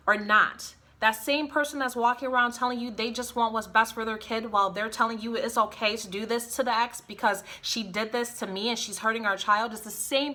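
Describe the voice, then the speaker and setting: Bright-voiced woman ranting, blunt and impassioned. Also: on the high side. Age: adult mother. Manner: blunt, impassioned, swears freely. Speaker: vlogger. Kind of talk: personal vlog monologue.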